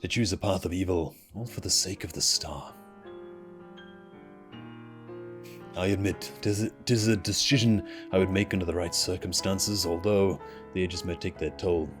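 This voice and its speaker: A man voicing a solemn, learned fantasy game scholar in a formal, measured, grave manner. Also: sits low, close to an everyday voice. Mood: solemn, resolute. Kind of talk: reading fantasy game dialogue aloud. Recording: game music behind the voice.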